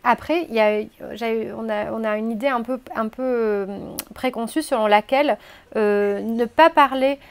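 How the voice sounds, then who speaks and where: A French woman hosts the interview, summing up what her guest has said before posing longer follow-up questions. Her voice sits somewhat high for a woman. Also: normal speed, clear, resonant tone. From France. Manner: summarizing, probing questions. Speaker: podcast interviewer.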